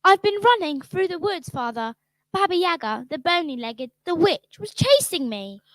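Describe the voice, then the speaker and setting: A young girl speaks in a high, eager voice, excited and earnest. She tells her story in a rush. The sound is dry and close, as in a radio play. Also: moderate pace, dry recording, full character voice, low-quality recording. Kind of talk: children's radio drama.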